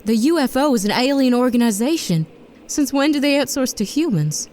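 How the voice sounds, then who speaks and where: An actress playing a tough, sarcastic woman who leads a space mission in an audio drama, with a brisk, sardonic delivery. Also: sits high, animated, darkish tone. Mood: wary, indignant. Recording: noisy background ambience.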